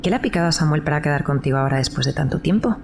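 A woman's voice: low-pitched, expressive, rapid-fire. An actress playing a sarcastic, skeptical young woman dinner guest in a live audio drama, with a Castilian Spanish accent. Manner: dry, sarcastic. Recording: noticeable background noise, live recording.

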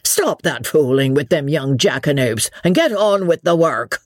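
A man's voice: fairly high pitch, lively intonation, a little slow, no room sound, clean studio sound. A man voicing Mister Jellyband, a hearty, opinionated innkeeper. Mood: blustering. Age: 60s. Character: bluff, worthy old innkeeper, stern father.

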